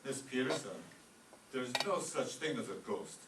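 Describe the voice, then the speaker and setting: A man's voice: mid-range voice, expressive, moderate pace, natural voice. A man playing a scornful, wisecracking, blustery office boss in an old-time radio comedy. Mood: exasperated. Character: exasperated, sarcastic male law-office boss. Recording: roomy, distant stage recording with faint noise.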